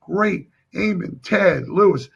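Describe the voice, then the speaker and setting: Hoarse male sales coach, husky. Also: high-pitched, lively intonation, slow. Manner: emphatic, coaching, addresses viewers directly. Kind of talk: live-stream sales training. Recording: dull lo-fi stream audio.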